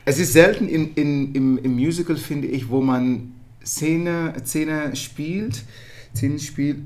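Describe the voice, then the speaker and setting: Man, Dutch accent, German as second language, musical performer, animated, restarts and fillers, interview chat. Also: a little high, takes it slowly. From Netherlands.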